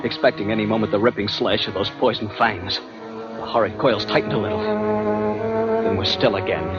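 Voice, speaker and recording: An actor playing a haunted young adventurer in an old radio thriller, with dramatic, suspenseful, deliberate delivery. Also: male voice, medium pitch, lightly acted. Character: haunted, guilt-ridden young adventurer narrating his terror at sea. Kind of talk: 1940s-50s radio drama narration and acting. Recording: dull vintage radio recording with prominent music.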